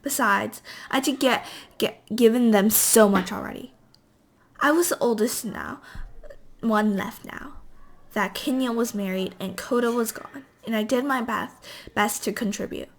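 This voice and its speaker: High-voiced woman reading a novel aloud. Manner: halting, stumbling over words and correcting herself. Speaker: amateur reader.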